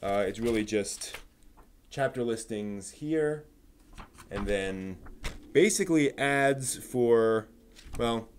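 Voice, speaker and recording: A man's voice: medium pitch, animated, slow pace, pauses often, a little hiss or hum. A man hosting a live stream in a casual, chatty style, rambling and thinking aloud as he goes. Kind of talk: casual live stream chat about anime news and giveaways.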